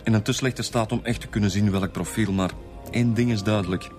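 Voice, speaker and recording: Actor as dutiful, very low-voiced police inspector. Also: male voice, fairly even intonation, brisk, darkish tone, lightly acted. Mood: reporting. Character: dutiful male police inspector reporting findings. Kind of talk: radio drama acting. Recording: music behind the voice.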